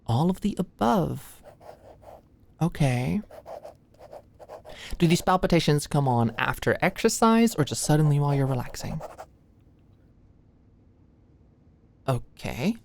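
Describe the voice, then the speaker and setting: A woman playing an anxious, sweet and awkward young female medical student in a soft, intimate ASMR roleplay, with a hesitant manner. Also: deep voice, expressive, a little slow, creaky, pauses often, a little hiss or hum, full character voice. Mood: gently clinical. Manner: nervous, hesitant, stammering.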